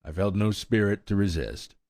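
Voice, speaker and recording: A man voicing a sorrowful, resigned old mare in a storybook reading, speaking slowly with sighs. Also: on the low side, darkish tone, dry recording, studio quality, close to an everyday voice. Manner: slow, sighing, world-weary.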